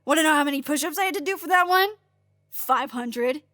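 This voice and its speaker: A woman's voice: high-pitched, fairly quick, sharp tone, no room sound, clean studio sound. A woman playing a brash, chatty, sarcastic agent with a blunt way of talking in an audio drama. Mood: boastful. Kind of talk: naturalistic audio drama dialogue.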